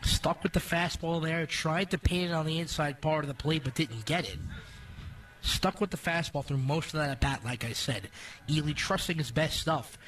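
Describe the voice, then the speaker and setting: Male color commentator for radio baseball, fairly high voice, conversational analysis, a little off the mic. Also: moderate pace, fairly bright tone, distant mic. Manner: conversational, explanatory.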